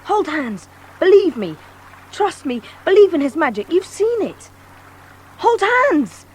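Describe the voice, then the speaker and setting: Brave schoolboy hero: high, animated, earnest and urgent, adventure audio drama. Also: female voice, slow pace, exaggerated voice. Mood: urgent pleading.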